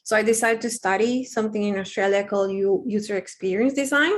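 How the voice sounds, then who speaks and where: A woman's voice: medium pitch, quick pace. A young adult woman from Peru with a Peruvian Spanish accent, speaking English as a second language as an interview guest, candid and rambling with many fillers and restarts. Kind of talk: casual interview.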